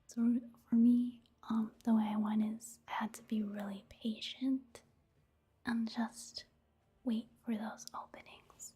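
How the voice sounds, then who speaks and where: A woman giving soft-spoken ASMR commentary on a video game in a breathy, whispery voice, hushed and halting. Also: on the high side, level tone. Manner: hushed, unhurried, halting delivery. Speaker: gaming ASMR creator.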